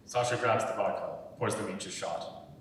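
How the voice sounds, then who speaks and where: A man's voice: normal speed, fairly bright tone. A man reading screenplay stage directions aloud as scripted narration, recorded from far off in an echoing hall. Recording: echoey hall, far from mic, rumbly noise.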